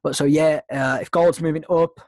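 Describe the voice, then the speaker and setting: A man's voice: fairly high pitch, fairly even intonation, moderate pace, a little mellow, no echo. A man with a slight cold, casually teaching a trading webinar, rambling with lots of ums and restarts. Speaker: trading mentor hosting a webinar. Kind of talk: casual screen-share teaching.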